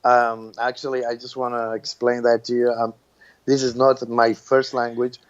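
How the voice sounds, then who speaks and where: A man's voice: medium pitch, completely flat delivery, muffled recording. A man from Iraq whose first language is Arabic, speaking English with an Arabic accent and apologizing for his language in a casual chat. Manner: slow, apologetic, brief backchannels. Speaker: podcast co-host.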